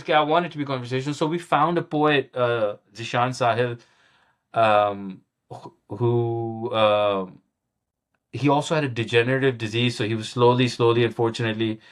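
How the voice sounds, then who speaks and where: Halting middle-aged man telling stories. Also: mid-range voice. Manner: halting, many restarts and repeated words; chuckles at himself often. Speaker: guest musician. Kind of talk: casual interview storytelling.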